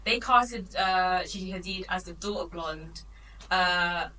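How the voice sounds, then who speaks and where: A woman's voice: on the low side, slow pace, bright, forceful tone, recorded from a distance, a little hiss or hum, poor audio. A woman co-hosting a podcast, chatting casually and trailing off mid-thought. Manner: chatty, laughs easily, trails off. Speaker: podcast co-host. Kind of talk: casual chat.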